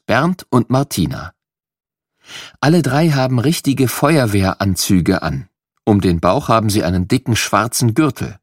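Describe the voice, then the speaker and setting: A man narrating a scripted children's story in a playful, animated way. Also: lowish voice, dry recording, clean studio sound.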